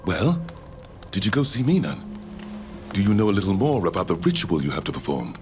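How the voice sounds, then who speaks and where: A low male voice plays an older, learned naturalist in a fantasy game. He is measured and courteous, reflective in temper. Music plays clearly underneath. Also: big pitch swings, a little mellow. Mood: courteous, interested. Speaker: scholar from the continent. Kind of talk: scripted video game dialogue. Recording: music behind the voice.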